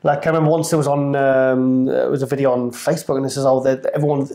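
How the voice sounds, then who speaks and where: A man's voice: mid-range voice, little pitch movement, fast, gravelly, dark tone. Chatty man co-host, echoey room. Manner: chatty, agreeable. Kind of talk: casual podcast chat.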